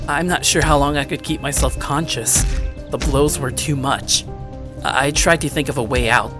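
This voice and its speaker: A man playing an anxious, introspective high school boy who narrates his own story. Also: close to an everyday voice. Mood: agonized. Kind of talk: first-person scripted story narration. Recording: music under the voice.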